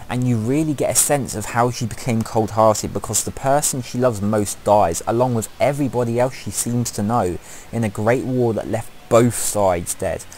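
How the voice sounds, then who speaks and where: A man's voice: lowish voice, a little hiss or hum, low-quality recording. A man giving scripted opinion commentary as the presenter of a video game countdown. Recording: music behind the voice. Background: loud music.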